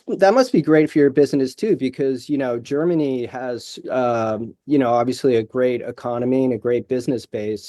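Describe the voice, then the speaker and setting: A man's voice: mid-range voice, warm tone, dry recording, clean studio sound. A man hosting an interview, asking curious questions with hesitant fillers. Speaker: podcast host.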